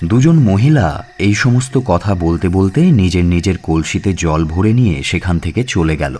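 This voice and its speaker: A man's voice: low-pitched, moderate pace, mellow, a little hiss or hum. A man, reading a detective story in the first person as scripted dramatic audiobook narration.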